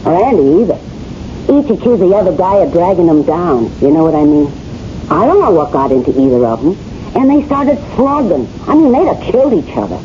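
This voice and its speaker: A woman's voice: fairly low pitch, big pitch swings, brisk, dark tone. An actor playing a brassy, flirtatious wife fed up with her husband, in slangy, wisecracking 1970s radio drama style, played by a woman. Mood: animated, gossipy. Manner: slangy, wisecracking, ungrammatical delivery. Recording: muffled, hissy old radio broadcast recording.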